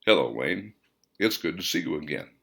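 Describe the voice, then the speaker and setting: A man's voice: extremely low pitch, expressive, normal speed, gravelly, fairly bright tone, breathy edge, voice pushed down, heavily acted. A man voicing a solemn, otherworldly male spirit in an audiobook, speaking formally and reverently. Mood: solemn greeting. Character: solemn male spirit voice inside a wave of energy.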